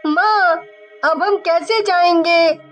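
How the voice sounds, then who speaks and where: A woman voicing a small outspoken child in a horror story, slow and childlike. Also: extremely high pitch, dull audio, soft background music. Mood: worried.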